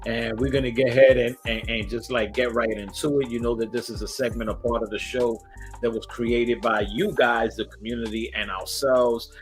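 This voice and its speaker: A man hosting a casual livestream, raspy and coughing, hyping up chat with sing-song shout-outs. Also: medium pitch, steady tone, normal speed. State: coughing fits. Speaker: host. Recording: loud hip hop music behind. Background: hip hop music.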